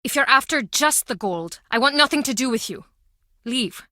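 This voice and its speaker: A woman's voice: high voice, expressive, moderate pace, bright, forceful tone, dry recording, studio quality. A woman playing a guarded, bitter small-town pub owner in a video game, speaking in a curt, brooding, dramatic way. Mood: guarded, suspicious. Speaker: game character.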